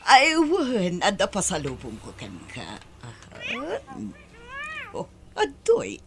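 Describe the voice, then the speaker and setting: Slow, swooping flirtatious woman. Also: mid-range voice, wildly swooping pitch, noisy background, full character voice. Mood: flirtatious, coaxing. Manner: teasing, cooing, laughing. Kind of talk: Ilocano radio-style audio drama acting.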